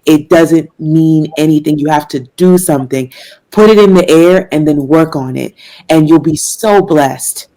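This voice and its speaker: A woman's voice: deep voice, unhurried, warm tone, poor audio. A young woman from Washington, D.C., a jazz singer answering interview questions in an earnest, faith-filled way, with fillers and laughs. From Washington, D.C., United States. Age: young adult.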